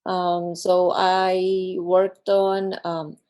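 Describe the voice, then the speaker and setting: A woman from South Korea speaking as a panel guest, halting and full of fillers. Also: fairly low pitch, completely flat delivery, a little mellow. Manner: halting, many fillers, unhurried. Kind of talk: casual chat.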